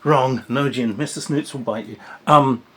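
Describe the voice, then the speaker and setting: A man giving a casual, rambling review of a tabletop game, with a wry, dark humour and plenty of fillers and restarts. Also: medium pitch, measured pace, husky.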